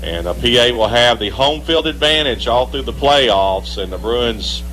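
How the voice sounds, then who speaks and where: Guy doing football postgame radio, medium pitch, bit of noise and hum behind him. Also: animated, unhurried, bright, forceful tone. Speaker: sports radio broadcaster. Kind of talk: post-game football commentary. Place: live broadcast at a football stadium. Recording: noisy live sports broadcast with hum.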